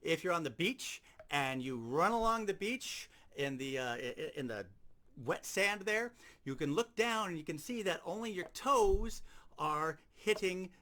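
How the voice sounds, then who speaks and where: A man's voice: high voice, expressive, measured pace, pushed and bright, a little hiss or hum. A man giving an informal instructional demonstration, explaining things step by step. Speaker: sound effects instructor.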